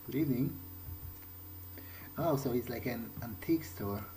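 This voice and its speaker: A man's voice: medium pitch, normal speed, warm tone, slight background noise, poor audio. A man playing a video game in character, commenting in a hesitant, understated way as if musing to himself. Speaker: let's play host.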